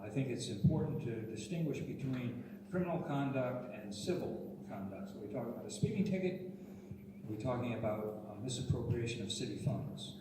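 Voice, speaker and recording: A man speaks as a sitting councilor, setting out his answer point by point in a measured way. He is well off-mic in a large echoing hall with a long reverb. Room noise murmurs behind him. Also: medium pitch, lively intonation. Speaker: city councilor and candidate. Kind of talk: candidate forum answer. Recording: echoey hall from far off, noisy.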